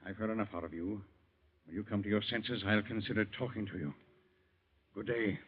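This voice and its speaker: A tinny old radio recording carries a stern older surgeon, played by a man. His low voice is curt and authoritative, that of a proud, easily indignant father in a noir drama. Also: steady tone, brisk, lightly acted. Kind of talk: 1940s radio acting.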